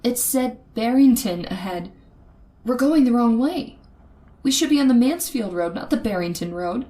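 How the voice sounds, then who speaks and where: A woman's voice: on the high side, lively intonation, lightly acted. An actress playing a frightened young woman held captive by a killer, telling her story in a suspenseful old-time radio horror drama. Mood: tense, alarmed. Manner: breathy dramatic suspense, hushed and halting when afraid.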